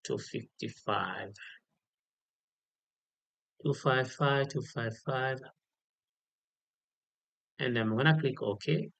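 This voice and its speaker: A man giving an unscripted step-by-step software tutorial, repeating key details and often checking in with a short okay. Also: mid-range voice, very slow, gravelly, pauses often, no echo. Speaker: tutorial instructor.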